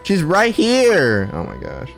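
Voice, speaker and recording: A woman's voice: on the low side, huge pitch swings, takes it slowly, faint music behind. A woman giving casual let's play commentary on a game, with playful, wry asides. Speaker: streamer playing and reacting to a game.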